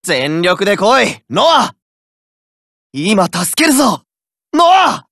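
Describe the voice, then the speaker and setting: A hot-blooded teen card fighter. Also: male voice, high voice, big pitch swings, takes it slowly, no room sound, clean studio sound, heavily acted. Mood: battle cries. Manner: shouting battle cries, rough boyish speech. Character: fiery teenage boy card fighter, hot-blooded and determined. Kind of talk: game voice lines, shouted battle calls.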